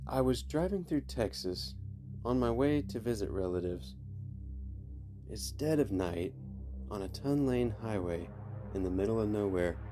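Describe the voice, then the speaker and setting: A man reading creepy stories as a podcast host, in scripted narration with measured, deliberate phrasing and dramatic pauses. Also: on the low side, expressive. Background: faint music.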